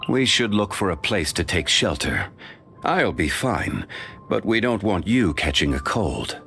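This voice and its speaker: Game role: an ageless, dignified scholar-gentleman, very deep and unhurried, formal and polite, faint music behind. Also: very animated, creaky, exaggerated voice. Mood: calm, formal. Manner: measured, formal and courteous. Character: ancient, dignified man, calm and learned consultant. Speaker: voice actor. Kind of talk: video game voice lines.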